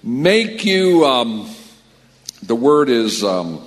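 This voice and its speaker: An older man from California preaching and joking, pausing line by line for an interpreter. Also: mid-range voice, sing-song. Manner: joking, teasing, pauses for translation. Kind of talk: sermon with a live interpreter. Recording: long hall reverb.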